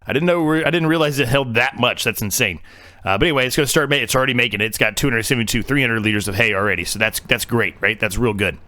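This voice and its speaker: A man's voice: mid-range voice, quick pace, pushed and bright, no room sound. A man losing his voice, giving casual, rambling commentary on a farming game with lots of fillers and restarts. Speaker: game streamer.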